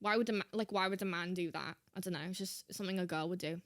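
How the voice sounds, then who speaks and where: A woman's voice: lowish voice, steady tone, fast, a bit bright, no room sound, studio quality. A woman doing an exaggerated put-on British accent, giving theatrical, playful reaction commentary and repeating phrases for emphasis. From United States. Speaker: host of a reaction show.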